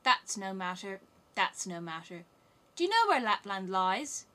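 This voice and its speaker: A woman's voice: medium pitch, sing-song, measured pace, pushed and bright. Woman playing a bossy, wild little robber girl. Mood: commanding. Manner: bold, commanding, emphatic. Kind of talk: storybook character voice.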